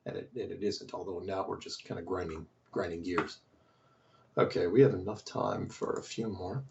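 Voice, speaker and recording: A man hosting a show who reads and answers listener mail in a casual, rambling way, his voice slightly hoarse and strained, asking many rhetorical questions. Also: low-pitched, steady tone, moderate pace, darkish tone, lo-fi sound, a little hiss or hum. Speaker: podcast host reading listener mail.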